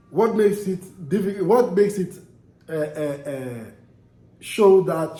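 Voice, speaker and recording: A man's voice: on the high side, warm tone, roomy sound. A young Ghanaian man with a Ghanaian accent, vlogging casually and answering viewer comments in an emphatic way, repeating himself and asking rhetorical questions.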